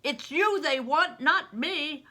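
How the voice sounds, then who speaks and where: A big, protective man from an adventure storybook , acted out by a woman. The voice is raised high and forceful, barking urgent commands. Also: animated, takes it slowly, heavily acted. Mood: desperate command.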